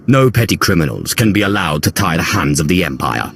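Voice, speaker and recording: An actor playing a cold, imperious, menacing dark sorcerer lord in a video game cutscene. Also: male voice, sits low, sing-song, fairly quick, creaky, a little hiss or hum, exaggerated voice. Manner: curt, menacing, commanding.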